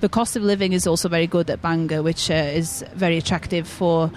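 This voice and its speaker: A woman's voice: on the low side, fairly even intonation, a little fast, a little mellow, a little hiss or hum. A woman from North Wales whose first language is Welsh, a university representative chatting easily as a radio guest at a convention fair, with fillers and light laughs. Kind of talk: casual radio interview.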